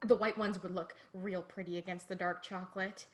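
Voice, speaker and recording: A woman's voice: lowish voice, level tone, a little fast, close to an everyday voice. A woman playing a naive, sheltered young girl in an online play, chatting earnestly as if hosting her own cooking video. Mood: eager, chatty. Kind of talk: dramatic monologue as online cooking show.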